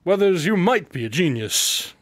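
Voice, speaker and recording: Coolly superior male detective, played by an actor, somewhat high voice, precise and pondering, dry radio-drama studio sound. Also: very animated, moderate pace, dry recording. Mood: smug, intent. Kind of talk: scripted radio drama dialogue.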